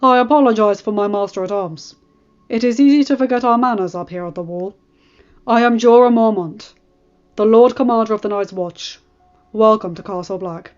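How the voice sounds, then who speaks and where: A woman doing a booming lord commander. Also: normal speed, darkish tone, clear, resonant tone, lightly acted. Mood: hospitable. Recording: dull audio with faint music.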